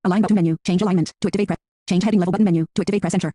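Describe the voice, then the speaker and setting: A man's voice: high voice, very fast. A synthetic male screen reader voice reading out interface labels in a clipped, robotic way. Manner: clipped, flat synthetic delivery.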